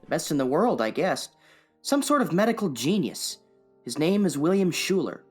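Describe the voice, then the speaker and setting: A man's voice: fairly high pitch, big pitch swings, normal speed, husky, soft background music. A man playing an earnest, businesslike Secret Service chief in a radio play. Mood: matter-of-fact. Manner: plain-spoken, businesslike.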